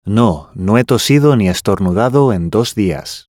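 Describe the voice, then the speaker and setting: A man reading scripted language-course dialogue with careful, clearly enunciated delivery. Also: lowish voice, expressive, measured pace, darkish tone, no echo, studio quality.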